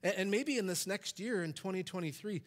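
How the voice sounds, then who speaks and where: Man, 40s, pastor, sermon, animated preaching, church stage, headset mic, slightly high voice. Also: fairly quick. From Michigan, USA. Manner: animated preaching, voice rising for quoted commands.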